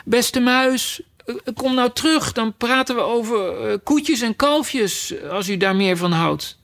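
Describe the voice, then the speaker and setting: A man's voice: very high voice, a little slow, creaky, fairly bright tone, voice pushed up, heavily acted. A man voicing a polite, chatty young girl who rambles eagerly and apologizes.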